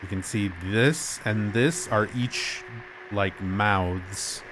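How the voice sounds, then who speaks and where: Low-voiced man with a sore throat. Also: very slow, noisy background. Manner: explanatory, chatty, gets animated when amused. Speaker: streamer. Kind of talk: casual game commentary.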